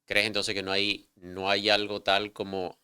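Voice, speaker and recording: Podcast host guy, super low voice but crisp and clear, chatty, asks questions. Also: monotone, unhurried, pushed and bright, no echo. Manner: conversational, poses questions, some restarts. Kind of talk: interview conversation.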